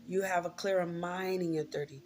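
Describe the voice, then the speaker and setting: A woman's voice: lowish voice, fairly even intonation, a little fast, darkish tone, soft background music. An American woman in her thirties talking candidly and informally to her viewers, reflective and repeating points for emphasis. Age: 30s. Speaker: vlogger. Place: indoors, room with a closet.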